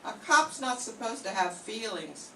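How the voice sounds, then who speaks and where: An older woman playing a gruff, world-weary male police lieutenant with a soft heart, in an amateur 1940s-style radio play. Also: mid-range voice, big pitch swings, unhurried. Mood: somber. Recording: echoey room from far off, faint hiss.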